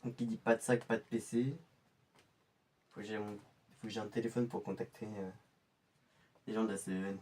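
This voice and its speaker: Infiltré joué par un homme, pensif, voix feutrée, capté à distance. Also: male voice, on the low side, fairly even intonation, normal speed, pauses often, darkish tone, close to an everyday voice. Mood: pensive. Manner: muttering to himself, thinking aloud. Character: male undercover infiltrator planning his cover. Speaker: infiltrator character. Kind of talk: scripted in-character monologue.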